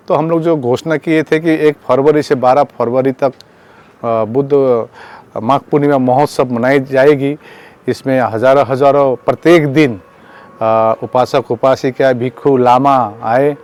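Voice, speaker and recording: A man speaking as a protest organiser, giving a campaign statement in a halting, repetitive way and mixing in English words. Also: mid-range voice, a little mellow. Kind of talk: spoken public statement on a campaign.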